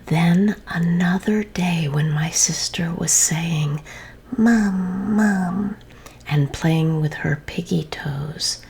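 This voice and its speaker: A woman reads a children's story in a soft, slow whisper, lingering over every phrase. Her voice sits low and carries a strong gravelly texture. A faint hiss of noise lies underneath.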